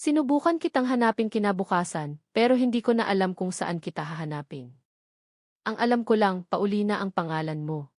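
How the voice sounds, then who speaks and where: A woman's voice: mid-range voice, expressive, fairly quick, darkish tone, no room sound, natural voice. Lady doing a serious caring filipino guy in a love story audiobook, hesitant, dry audio. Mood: concerned. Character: serious, hesitant young man, gently concerned romantic lead. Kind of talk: audiobook character dialogue.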